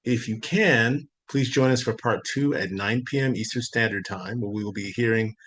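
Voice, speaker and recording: A man's voice: on the low side, moderate pace, fairly bright tone, breathy edge. A man hosting a poetry reading, making announcements and introducing poets.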